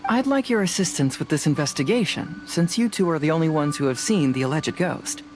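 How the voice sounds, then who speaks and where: A woman playing a calm, fearless young investigator in a video game, speaking in a methodical, matter-of-fact way. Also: sits low, a little fast, loud music behind the voice. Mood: composed, businesslike.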